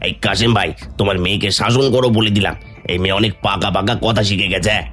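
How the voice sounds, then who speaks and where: A man plays a greedy cartoon villain of a Bengali village. The voice is low, with whiny drawn-out interjections and spiteful bluster, over soft music. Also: fairly even intonation, close to an everyday voice. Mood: offended. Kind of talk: Bengali fairy tale cartoon dubbing. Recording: music under the voice.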